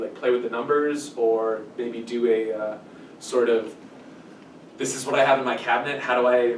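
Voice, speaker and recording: A man in his thirties giving an informal workshop presentation in a casual, hedging way, often interrupting himself. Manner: casual, self-interrupting, hedging with kind of and sort of. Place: small meeting room, indoors. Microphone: distant camera mic. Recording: echoey room, distant mic, rumbling noise.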